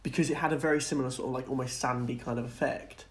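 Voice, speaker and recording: A man's voice: medium pitch, steady tone, rapid-fire. Casual man co-hosting a podcast. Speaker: podcast co-host. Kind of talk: casual film and TV discussion.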